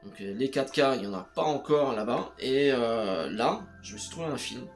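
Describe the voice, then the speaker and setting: A man's voice: medium pitch, expressive, moderate pace, faint music behind. A man talking casually to camera about his home cinema purchases, hesitating often and speaking straight to the viewer. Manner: hesitant, full of "euh" fillers, addresses viewer as "tu". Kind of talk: casual unscripted chat to camera.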